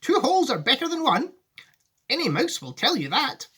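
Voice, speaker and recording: A woman voicing an eccentric, unflappable gentleman factory owner in a theatrical storybook reading. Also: high-pitched, wildly swooping pitch, normal speed, pitched above the performer's own voice, heavily acted. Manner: theatrical, exclamatory, whimsical.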